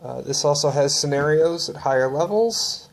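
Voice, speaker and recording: Guy with a gravelly voice, rambling thru his book collection, lots of ums and uhs. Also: on the high side, normal speed. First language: English. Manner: relaxed, rambling with many fillers. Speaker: collector showing books. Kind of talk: casual show-and-tell.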